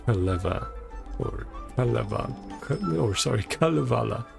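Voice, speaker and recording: A man's voice: mid-range voice, animated, moderate pace, gravelly. A man casually commentating a video game playthrough, reading bits of on-screen text aloud in clipped fragments over music.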